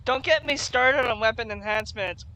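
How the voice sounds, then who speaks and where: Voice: mid-range voice, normal speed, poor audio. Casual joking game commentator. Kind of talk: casual let's-play commentary. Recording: commentary over loud game music.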